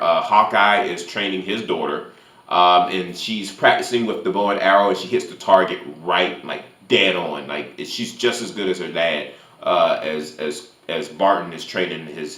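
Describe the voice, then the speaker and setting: A man giving a casual spoiler-filled movie review as a YouTuber, haltingly, often repeating and restarting his phrases. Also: low voice, a bit bright, roomy sound.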